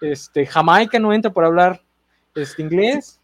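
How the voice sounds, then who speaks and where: A man's voice: high voice, lively intonation, no echo. An opinionated man, ranting sardonically on a podcast panel. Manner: opinionated, sardonic, quick rants. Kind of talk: casual panel chat.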